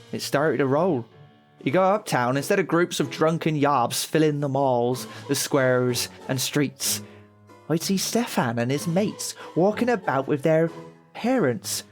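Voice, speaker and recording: A man's voice: on the high side, animated, slow, soft background music. A man playing a bitter, wounded teenage son in an audio drama, speaking in colloquial slang. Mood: brooding, narrating. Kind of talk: audio drama dialogue and monologue.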